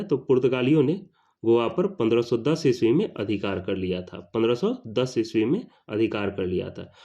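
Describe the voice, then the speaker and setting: A man's voice: mid-range voice, warm tone. A man teaching an exam question-and-answer lesson, repeating questions and answers for emphasis. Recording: slightly dull lo-fi sound.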